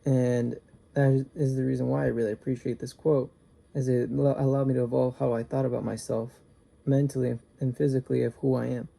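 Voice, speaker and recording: A young man giving an earnest class speech, halting at times and restarting his sentences. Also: medium pitch, level tone, vocal fry, dark tone. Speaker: student.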